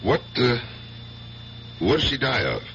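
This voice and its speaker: An actor playing a skeptical police sergeant with clipped, persistent questioning in a 1970s radio drama. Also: male voice, medium pitch, very animated, unhurried, gravelly, a bit bright, a little hiss or hum, exaggerated voice. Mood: casual curiosity. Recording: dull, hummy old radio recording.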